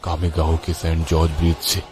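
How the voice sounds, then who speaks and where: A man's voice: extremely low pitch, completely flat delivery, a little fast, over loud music. A man telling a horror story as a scripted, dramatic first-person narration.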